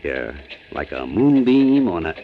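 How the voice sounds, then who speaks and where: Wry wisecracking sleuth, lively swooping delivery. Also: male voice, low voice, a little slow, heavily acted. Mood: romantic. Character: wisecracking, coolly probing male amateur sleuth and sweet-talking suitor. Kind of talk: 1940s radio crime drama acting. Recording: muffled vintage 1940s radio recording.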